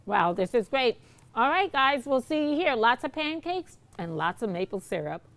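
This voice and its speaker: A woman's voice: a little high, big pitch swings, moderate pace, fairly bright tone. A young woman in her twenties reporting a TV feature in a shop, bubbly and enthusiastic. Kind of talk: TV feature segment. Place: indoor sugarhouse shop.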